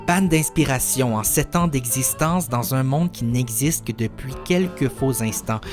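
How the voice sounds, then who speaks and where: A man reciting his own spoken-word poetry in a contemplative, literary way, in short measured phrases. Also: medium pitch, a little mellow. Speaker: poet reciting own work.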